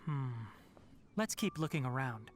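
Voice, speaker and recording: An actor playing a composed, slightly proud young male scholar in a video game, explaining in a measured way. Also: medium pitch, very animated, slight background noise. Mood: thoughtful.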